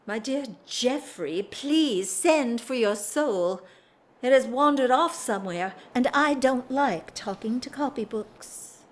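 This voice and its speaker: A woman's voice: a little high, expressive, slow pace. A woman playing a restless, bored aristocratic wife in a period audio drama, theatrical and wry, with emphatic swells. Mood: teasing, intense. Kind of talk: period drawing-room audio drama acting.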